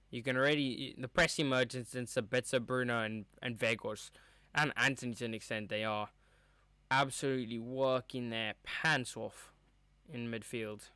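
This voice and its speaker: Young male streamer calling a match live; loose and chatty, crisp clear voice. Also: medium pitch, bright, forceful tone, no room sound. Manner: rambling, chatty, reacts to play as it happens. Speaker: streamer hosting a match watchalong. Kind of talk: casual live match commentary. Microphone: streaming mic with a cover on top.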